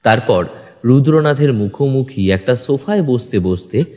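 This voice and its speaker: A man's voice: medium pitch, lively intonation, moderate pace, a little mellow. Male audio-story narrator, mid-range, dramatic detective-fiction read, heavy reverb tail. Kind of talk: scripted first-person detective story narration. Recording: long reverb with music bed. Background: background music.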